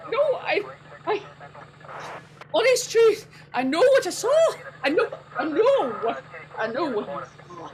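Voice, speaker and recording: A woman acting an excitable, high-strung female character in 1940s radio style, melodramatic and exclaiming. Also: measured pace, pauses often, a crowd in the background, exaggerated voice, poor audio. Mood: frightened, insistent.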